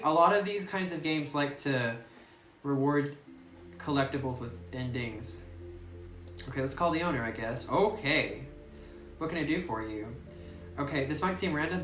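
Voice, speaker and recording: Chatty woman gamer on a roomy phone mic. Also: rumbling low. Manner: chatty, giggly, muses aloud while playing. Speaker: gaming YouTuber. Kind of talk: casual let's play commentary, reading game text aloud. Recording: roomy phone recording with faint hiss.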